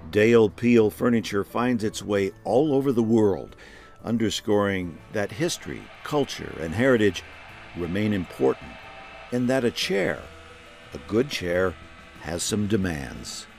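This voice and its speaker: A man narrates a travel program in a low voice with lively, wide-moving intonation. His scripted lines are set over prominent background music. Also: measured pace, creaky. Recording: music under the voice.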